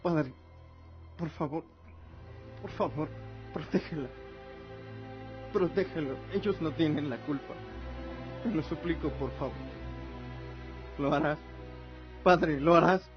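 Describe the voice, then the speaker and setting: A dying, protective warrior son voiced by a man in a radio drama, faltering and imploring. He speaks very slowly, and the muffled recording has prominent music behind him. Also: fairly high pitch. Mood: pleading.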